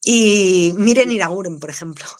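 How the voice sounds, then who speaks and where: A woman, an actress, speaking with a Canarian accent, answering interview questions in a candid, expressive way and laughing easily. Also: mid-range voice, normal speed. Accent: Canarian Spanish. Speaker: actress, guest.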